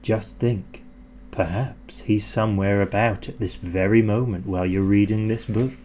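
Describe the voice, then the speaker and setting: A man narrating a children's story in an expressive, theatrical way, drawing out words for effect. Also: on the low side, moderate pace, slight background noise. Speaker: audiobook narrator.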